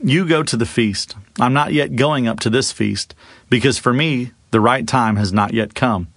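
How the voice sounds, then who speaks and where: A man's voice: medium pitch, moderate pace, no room sound, studio quality, lightly acted. A man voicing an earnest male teacher addressing a crowd in an emphatic, declarative way in a scripture reading. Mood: firm, earnest.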